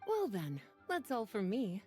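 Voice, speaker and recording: A woman playing a languid, teasing adult librarian in a video game, poised and breathy. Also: medium pitch, huge pitch swings, unhurried, darkish tone, soft background music, exaggerated voice. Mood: composed. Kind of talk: animated video game voice acting.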